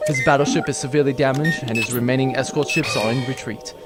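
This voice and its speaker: A man acts as a loyal male starship officer reporting to a superior in a space radio play. He is deferential and measured, with music clearly behind the voice. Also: mid-range voice, steady tone, lightly acted. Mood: dutiful. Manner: measured, dutiful. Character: deferential male starship officer serving his lady captain. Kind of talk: sci-fi audio drama acting.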